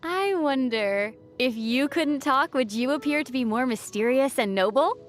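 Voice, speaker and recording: A woman playing a young female adventurer in a video game, gentle and playfully wry in manner. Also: high-pitched, expressive, a little slow. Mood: teasing. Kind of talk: game voice acting. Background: faint background music.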